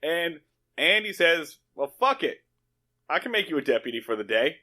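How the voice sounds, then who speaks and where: A man's voice: fairly high pitch, sing-song, moderate pace, pushed and bright. A man co-hosting a podcast in casual, comedic recap style, swearing and acting out lines in his own loose paraphrase. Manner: profane, acts out dialogue in paraphrase. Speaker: podcast co-host. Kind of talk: casual comedic recap chat about a TV show.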